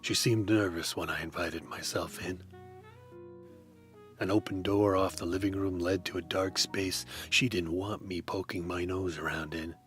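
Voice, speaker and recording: Low-voiced man, dry deadpan noir narration, soft music behind. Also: moderate pace. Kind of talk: hardboiled detective noir narration.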